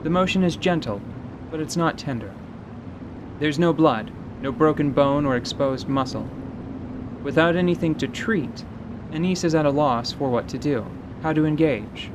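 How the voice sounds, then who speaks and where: A man's voice: medium pitch, background noise. A man giving scripted, dramatic narration as the narrator of a fantasy audio show.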